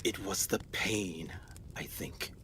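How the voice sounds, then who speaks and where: A man acting in a radio play as an earnest, excitable explorer doctor, speaking formally with dramatic pauses. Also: sits low, slight background noise, exaggerated voice. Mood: haunted, hushed.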